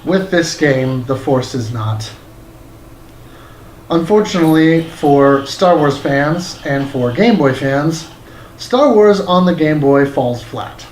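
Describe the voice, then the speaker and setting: A man's voice: a little high, expressive, takes it slowly, a little hiss or hum, poor audio. A man reading a scripted video game review as its narrator.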